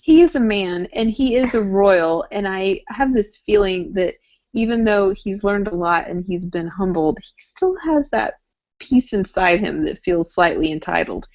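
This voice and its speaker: Female co-host for casual TV recap chat, animated and teasing, mid-range voice, muffled lo-fi recording. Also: mid-range voice, measured pace. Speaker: podcast co-host. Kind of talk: casual chat discussing a TV drama. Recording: dull lo-fi audio.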